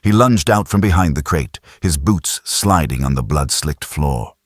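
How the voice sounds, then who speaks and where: A man's voice: very deep voice, lively intonation, unhurried, no room sound, clean studio sound. A man narrating a science fiction audiobook in a dramatic, scripted style.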